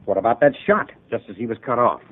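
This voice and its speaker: An actor playing an earnest, puzzled insurance associate in an old-time radio drama. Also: male voice, medium pitch, very animated, fast talker. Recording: tinny, band-limited old radio recording.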